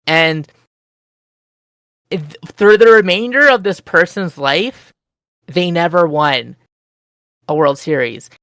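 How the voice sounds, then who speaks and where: A woman telling a rambling story on an online call, excitable and jumping up in pitch. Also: takes it slowly, long pauses, no room sound. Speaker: group chat participant. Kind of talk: casual storytelling chat.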